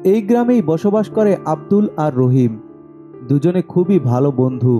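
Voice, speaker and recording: A man narrates a scripted spooky tale in a very dark, soft-edged tone. His pitch sits a little high for a man, and music plays quietly behind him. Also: normal speed. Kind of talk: scripted horror story narration. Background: background music.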